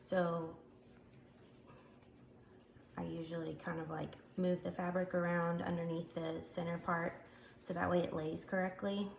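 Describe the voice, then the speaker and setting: Congested woman with a lowish voice, talking through a hands-on demo with ums; echoey room, faint noise. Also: level tone, measured pace, vocal fry, pauses often. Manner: chatty, explains while working with hands, frequent ums. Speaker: craft tutorial maker. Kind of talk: casual step-by-step demonstration.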